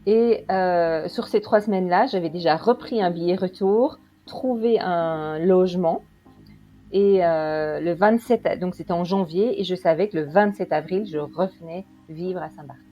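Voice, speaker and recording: A woman's voice: lowish voice, a little slow, lo-fi sound. A woman from Belgium, chatting as an interview guest and telling her story with frequent hesitations. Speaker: guest, quantum practitioner.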